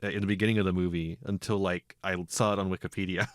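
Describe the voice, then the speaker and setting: A man's voice: deep voice, flat delivery, fast talker, smooth, no room sound, studio quality. A man hosting a casual film podcast, getting over a cold, wry and chuckling between his remarks. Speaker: podcast host.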